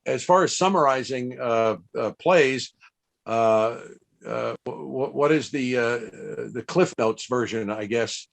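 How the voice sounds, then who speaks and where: An older man chatting casually on a video call, halting often and hesitating as he goes. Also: mid-range voice, vocal fry. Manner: halting, hesitant delivery with many pauses. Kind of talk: casual unscripted chat.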